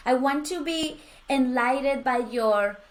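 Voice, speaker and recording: Woman podcast host, bright higher voice, effusive and quick to praise. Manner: effusive, gushing, laughs often. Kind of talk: conversational interview.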